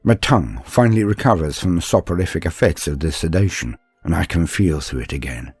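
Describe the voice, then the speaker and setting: A man playing an earnest, deferential young male apprentice, telling his story in a measured, confiding, introspective way. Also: sits low, animated, darkish tone, breathy edge, dry recording, soft background music, pitched below the performer's own voice, full character voice. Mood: relieved, wondering. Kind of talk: first-person dramatic storytelling.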